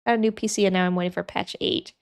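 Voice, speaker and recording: A woman's voice: medium pitch, fairly even intonation, racing, clear, resonant tone. A young woman streamer who is sick and sniffling, chatting casually with her chat and thinking aloud as she works.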